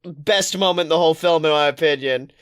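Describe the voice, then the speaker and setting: Higher-voiced man, animated and sweary. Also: quick pace, smooth. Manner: animated, profane, rambling storytelling. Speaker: podcast co-host. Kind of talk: casual pop-culture chat.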